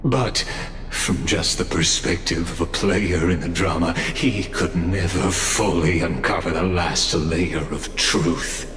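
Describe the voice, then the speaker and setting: An actor voicing an ominous, grandiose male cosmic observer in a game cutscene, speaking slowly and deliberately. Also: low-pitched. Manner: slow, grandiose, deliberate delivery.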